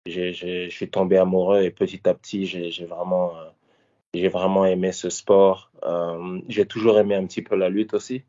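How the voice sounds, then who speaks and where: A man in his thirties from Paris talks through his fighting career in a very deep voice. He speaks casually and haltingly, often restarting and filling pauses. The audio is dull and lo-fi. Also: mellow. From France, Paris. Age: 30s. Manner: hesitant, many fillers and restarts. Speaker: former MMA fighter and coach. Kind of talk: casual interview answers.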